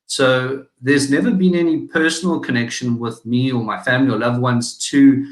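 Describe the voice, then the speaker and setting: Brief: South African male guest, analytical, halting delivery, roomy echo. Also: medium pitch, fairly even intonation. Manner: analytical, halting with many restarts and fillers. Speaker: guest, digital marketing business owner. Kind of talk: casual interview talk.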